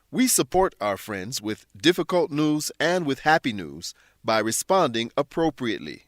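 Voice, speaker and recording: Male lesson narrator: mid-pitched, deliberate, instructional scripted read, close dry sound. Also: fairly bright tone, no echo. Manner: deliberate, instructional, clearly worded. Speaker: narrator and English teacher.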